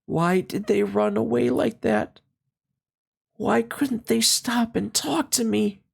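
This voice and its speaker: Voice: mid-range voice, takes it slowly, gravelly, husky, voice pushed up, heavily acted. A storybook reader voicing a timid, wistful little male toy rabbit with a childlike, insistent way of talking. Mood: sad, wistful.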